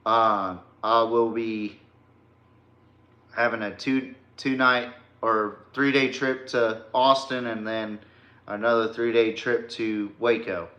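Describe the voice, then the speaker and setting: A man from Texas hosts a sports podcast in a roomy, echoing space. His voice is bright and forceful, and he takes his time as he talks. The delivery is informal and slangy, with a folksy touch. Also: on the low side, level tone, slow, lo-fi sound. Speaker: podcast host. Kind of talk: casual sports talk to viewers. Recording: roomy, echoey sound.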